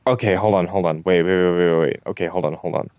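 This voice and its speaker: Deep voiced guy doing a playthrough, talks to himself, kinda sweary, dry close sound. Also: steady tone, quick pace, clear, resonant tone, dry recording, muffled recording. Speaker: gamer recording a playthrough. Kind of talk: casual gameplay commentary, thinking aloud.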